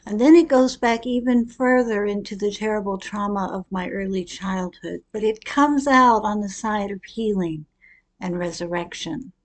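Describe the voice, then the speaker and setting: A middle-aged woman giving a prepared personal talk as a storyteller, with deliberate, expressive and reflective delivery. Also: medium pitch. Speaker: speaker telling her own life story.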